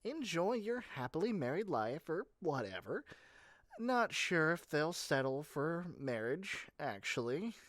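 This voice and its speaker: Performer as a frazzled, cynical sales rep for an audio roleplay; mid-pitched, lively swoops, chatty theatrical asides, dry recording. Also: measured pace, no room sound. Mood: brisk, dismissive. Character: eager, shady, frazzled yandere matchmaking salesperson, cynical and fast-talking. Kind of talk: scripted audio roleplay monologue to one listener.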